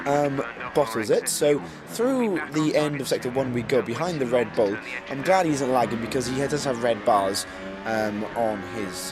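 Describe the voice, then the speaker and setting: Self-mocking man narrating races. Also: medium pitch. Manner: self-mocking, rambling, many ums. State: losing his voice, getting ill. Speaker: racing game commentator. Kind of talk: casual commentary over race footage. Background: background music.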